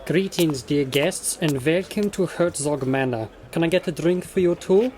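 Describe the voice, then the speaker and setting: An obliging, formal waiter at a grand manor played by a performer in an audio drama. The voice is mid-pitched and courteous, with clear noise in the background. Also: normal speed, lightly acted. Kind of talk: fan audio drama acting.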